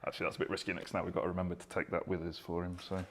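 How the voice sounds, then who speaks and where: A man's voice: deep voice, completely flat delivery, racing, fairly bright tone. A man co-hosting a live stream, chatting casually and reading out viewer comments. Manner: chatty, self-deprecating, reads out viewer comments.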